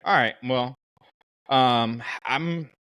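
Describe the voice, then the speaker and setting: A man's voice: medium pitch, very slow, a bit bright, clear, resonant tone, no room sound, poor audio. A man hosting a casual fan podcast, talking through his choices in a conversational way with frequent asides. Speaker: podcast host.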